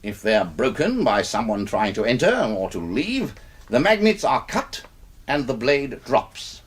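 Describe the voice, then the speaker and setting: A man's voice: medium pitch, sing-song, unhurried, breathy edge. Elderly British showman, swooping theatrical voice. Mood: teasing menace. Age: older. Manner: theatrical, relishing, courteous. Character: elderly theatrical gamesman showing off his deadly contraptions. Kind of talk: radio drama acting.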